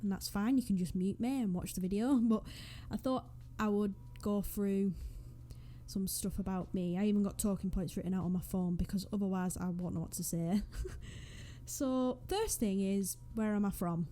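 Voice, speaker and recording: A young woman with a Northwest English accent talks into a desk mic in a mellow, warm tone. She chats in a loose, rambling way with self-deprecating asides, at a mid pitch. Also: normal speed. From northwest England. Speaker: Sims YouTuber. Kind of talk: casual voice-over chat over a speed build. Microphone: USB desk microphone.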